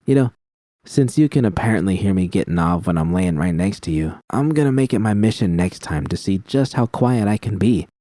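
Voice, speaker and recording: A steady, reassuring young woman mercenary, voiced by a man in his own low register. Her lines come calm and gentle, with a mellow tone. The sound is dry and close. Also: brisk, no room sound. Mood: tender.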